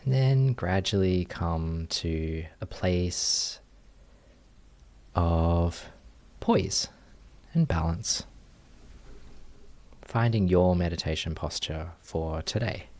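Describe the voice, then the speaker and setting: A man leading a guided meditation as a teacher, speaking in a gentle, unhurried manner with long pauses. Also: low-pitched.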